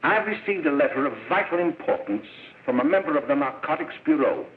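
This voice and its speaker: A grave middle-aged doctor in a 1930s propaganda film, alarmist and declamatory. His voice sits a little high and delivers each warning with heavy emphasis. The sound is a thin, narrow old soundtrack. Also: very animated, a little fast, a little hiss or hum. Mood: grave, urgent. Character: stern, alarmist middle-aged doctor lecturing parents on moral danger. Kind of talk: 1930s film oratory, scripted lecture.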